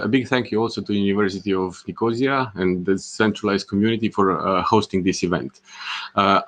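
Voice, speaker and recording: A man's voice: on the low side, a bit bright. A man moderating an online course webinar, speaking with frequent "uh" fillers and restarts as he introduces the project and speakers. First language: not Greek. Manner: hesitant, many "uh" fillers and restarts.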